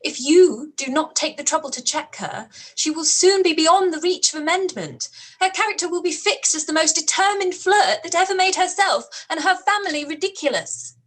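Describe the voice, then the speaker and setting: An earnest, sharp-witted young woman, played by a woman in a live-read radio play. Her voice sits high and she makes her points in an articulate, pressing way.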